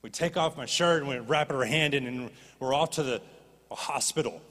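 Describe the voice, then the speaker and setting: A man preaching a sermon as a pastor, animated and rising to impassioned pleading. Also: medium pitch, moderate pace. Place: church stage. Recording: long reverb of a church hall.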